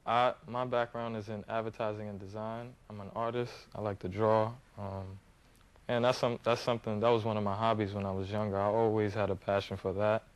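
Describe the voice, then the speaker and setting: Young guy from harlem, smooth lowish voice, lots of ums, kinda shy. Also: little pitch movement, fairly quick. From Harlem, New York. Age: 20s. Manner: hesitant, many ums and you-knows, soft-spoken. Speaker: guest, young entrepreneur. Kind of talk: interview answers.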